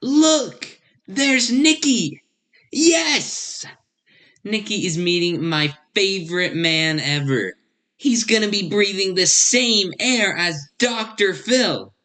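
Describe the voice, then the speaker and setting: A woman playing a gushing, stubborn aunt in a radio drama, excitable and emphatic. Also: mid-range voice, slow pace. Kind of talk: high school radio drama acting.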